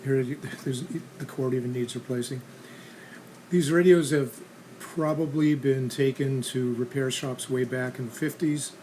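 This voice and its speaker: Casual man narrating over clear background noise. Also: medium pitch, fairly even intonation, a little slow, darkish tone, low-quality recording. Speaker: host of a restoration how-to channel.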